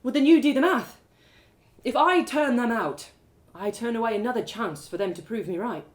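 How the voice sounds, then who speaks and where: A woman voicing an earnest young man torn by guilt, pleading haltingly in a story. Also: on the high side, lively intonation, close to an everyday voice. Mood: guilty, earnest. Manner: halting, repeating words as he pleads.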